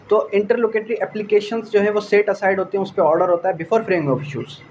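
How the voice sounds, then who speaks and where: A man with a Pakistani accent giving an explanatory law lecture in a didactic way, repeating phrases, asking rhetorical questions and mixing in English legal terms. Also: sits high. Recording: dull lo-fi audio, faint background noise.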